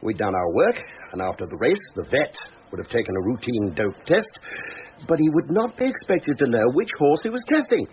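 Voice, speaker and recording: An actor playing a precise, knowing racing brigadier in a crime drama, measured and formal as he explains. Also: medium pitch, very animated, close to an everyday voice. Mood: knowing, explanatory. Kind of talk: British police drama acting. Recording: dull lo-fi audio with background hiss.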